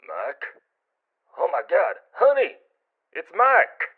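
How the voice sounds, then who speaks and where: A man's voice: high-pitched, wildly swooping pitch, takes it slowly, creaky, breathy edge, phone-quality sound, full character voice. An actor playing a kindly old grandfather in an audio drama, heard through a telephone filter. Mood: surprised. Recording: thin band-limited telephone sound.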